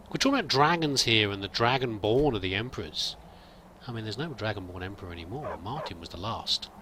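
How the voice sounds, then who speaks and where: A man's voice: on the low side, sharp tone, a little hiss or hum. A man roleplaying a pilgrim in a game, musing and thinking aloud in a hesitant, wandering way. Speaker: let's play roleplayer. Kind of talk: in-character game commentary.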